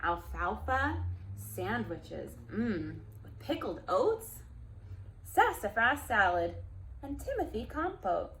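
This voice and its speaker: Female children's storyteller, higher-pitched, very slow delivery, gentle, expressive, talks straight to the young audience. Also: a little hiss or hum, low-quality recording. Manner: expressive, gentle, speaking directly to young listeners. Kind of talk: reading a picture book aloud to children, with asides.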